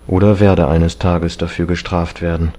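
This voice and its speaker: A man voicing a grieving, reproachful painter in an audiobook novel, earnest, hushed and strained. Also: low voice, level tone, normal speed, natural voice. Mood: sad, reproachful.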